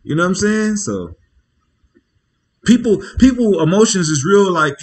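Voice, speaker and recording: A man's voice: sits high, lively intonation, unhurried. Man in laid-back, slangy live chat. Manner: loose and slangy, with frequent "you feel me" check-ins; rambling, thinking aloud with restarts. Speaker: livestream host. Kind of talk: casual livestream chat.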